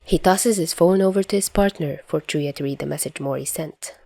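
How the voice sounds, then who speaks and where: A woman reading a story aloud as an amateur audiobook narrator, in an expressive scripted style. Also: sits low, brisk, no room sound.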